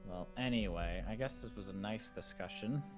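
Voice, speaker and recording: A man's voice: fairly low pitch, normal speed. A young man in a roleplay, dry and skeptical, humming thoughtfully between lines. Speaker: roleplay channel host. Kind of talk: in-character roleplay chat. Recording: clear background music.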